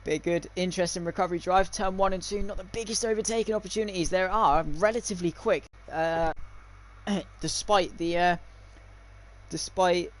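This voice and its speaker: High-pitched young man commentating on sim racing. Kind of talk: live esports race commentary with casual chat.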